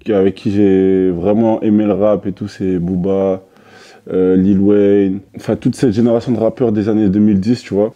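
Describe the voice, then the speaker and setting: A young man from French Guiana introducing himself as a rap contestant, relaxed and rambling with fillers. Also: fairly low pitch, dull audio. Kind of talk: casual self-introduction.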